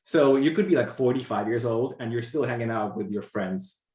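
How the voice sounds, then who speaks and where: A young man from Argentina, speaking as an interview guest in a relaxed, rambling way with many "like" fillers. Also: medium pitch, moderate pace, echoey room. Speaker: interview guest, brand designer. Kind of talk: casual interview conversation.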